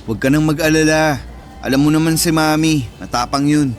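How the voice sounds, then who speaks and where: An actor playing a protective, caring older brother in an emotional radio drama, speaking earnestly and emphatically. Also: male voice, a little high, fairly even intonation, a little fast, faint music behind, close to an everyday voice. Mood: caring, concerned. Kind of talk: radio drama acting in Tagalog.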